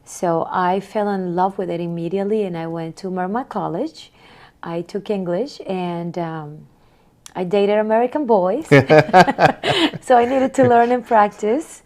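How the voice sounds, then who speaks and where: A woman in her forties from Honduras, answering interview questions in a soft, reflective way with small repeats. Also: medium pitch, a little slow. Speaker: guest.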